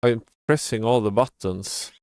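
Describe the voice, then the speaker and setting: A man's voice: mid-range voice, a little slow, a little mellow, clear, resonant tone. A man giving casual live game commentary as a streamer, muttering in a mildly exasperated way. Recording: game music behind the voice. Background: video game music.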